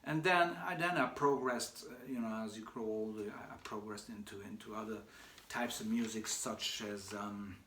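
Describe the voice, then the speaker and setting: A man who grew up speaking Hungarian and German, talking casually about himself, with many pauses, fillers and restarts. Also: lowish voice, a bit bright. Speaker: shop owner answering questions. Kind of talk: casual personal monologue. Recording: echoey room with faint background noise.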